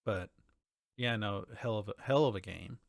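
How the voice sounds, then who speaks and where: A man's voice: lowish voice, normal speed, vocal fry, no room sound, clean studio sound. A man co-hosting a podcast, talking casually and conversationally about video games. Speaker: podcast co-host.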